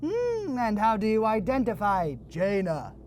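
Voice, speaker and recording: A mocking spirit of self-doubt played by a woman in a surreal audio drama. The voice is high and slow, swooping theatrically through drawn-out taunts, needy underneath the sneer. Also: huge pitch swings, a little mellow, faint music behind. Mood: probing, taunting.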